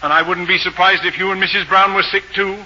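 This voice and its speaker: A man's voice: sits high, fairly even intonation, fast, bright, forceful tone, heavily acted. A man acting a pompous, wordy middle-aged father with a dry, sardonic manner, in 1940s radio style. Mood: dryly sardonic. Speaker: radio drama actor. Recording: dull, faintly noisy old radio recording.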